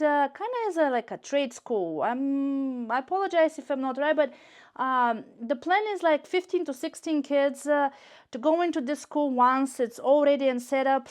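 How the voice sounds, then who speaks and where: A woman from Bulgaria with a high voice and a Bulgarian accent speaks as a shopping vlogger. She chats casually to her viewers in a gushing way, often doubling up words for emphasis. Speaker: YouTube shopping and unboxing vlogger. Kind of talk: casual chat to camera.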